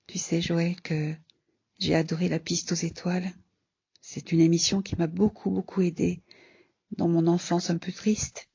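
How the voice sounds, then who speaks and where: A very husky woman reads a personal story aloud, slowly and with little rise or fall. Her delivery is subdued and measured, on a dry, dull home recording. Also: lowish voice, little pitch movement, dark tone, no echo. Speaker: contributor reading a personal story.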